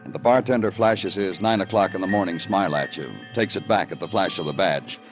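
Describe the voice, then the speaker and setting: An actor playing a world-weary police detective in old radio noir, speaking in brooding, poetic, clipped phrases. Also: male voice, low voice, brisk, over music, lightly acted. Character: world-weary male police detective narrating his own case. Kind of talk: 1950s radio noir narration.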